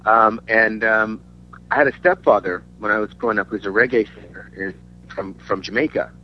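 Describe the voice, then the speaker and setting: Low-voiced halting man, dull lo-fi recording. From Denmark. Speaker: musician, interview guest. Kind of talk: casual interview chat. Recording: dull lo-fi audio with faint hum.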